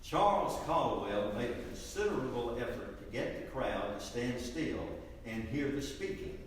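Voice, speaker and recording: A man's voice: mid-range voice, expressive, moderate pace, natural voice. A man playing an earnest, formal eyewitness recounting events in a dramatic reading of testimony. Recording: echoey noisy hall, distant mic.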